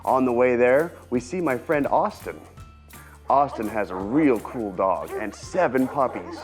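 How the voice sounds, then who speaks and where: A man reading a picture book aloud to children in an expressive storytelling style, like a teacher acting out the dialogue. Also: mid-range voice, measured pace, soft background music. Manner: expressive, acts out dialogue and sound effects.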